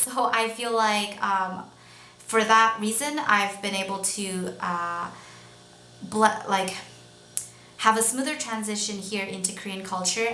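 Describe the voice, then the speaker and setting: A young woman with a Canadian accent chats unscripted to her viewers in a bright, clear-edged voice. She rambles, pausing and searching for words as she goes. The recording has noticeable room echo and a faint layer of noise. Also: mid-range voice. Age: young adult. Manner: rambling, hesitant, with many pauses. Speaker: vlogger. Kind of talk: casual, unscripted talk to camera.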